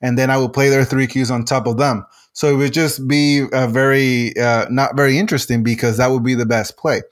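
A man from Puerto Rico whose first language is Spanish talks through his design process at a middle pitch. He stops and restarts often and leans on fillers as he thinks. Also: fairly even intonation. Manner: halting, many restarts and fillers. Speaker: game designer guest. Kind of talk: casual interview answers.

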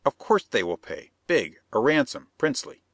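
A man's voice: mid-range voice, very animated, normal speed, fairly bright tone, no echo, voice pushed up. A man voicing a vehement male schemer who talks in stiff, broken phrasing. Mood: insistent. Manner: insistent, persuasive. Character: scheming male plotter, vehement, stilted speech.

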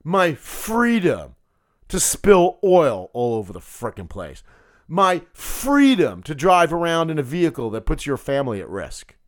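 A man hosting a political podcast, talking solo in a sarcastic, combative way with a gravelly voice. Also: on the high side, huge pitch swings, measured pace. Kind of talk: opinionated solo commentary.

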